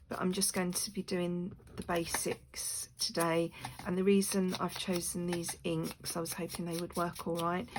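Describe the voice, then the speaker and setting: A woman with a croaky voice chatting casually to her channel while making craft pieces, rambling with lots of fillers. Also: lowish voice. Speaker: crafting video maker. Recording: noisy room with clicks.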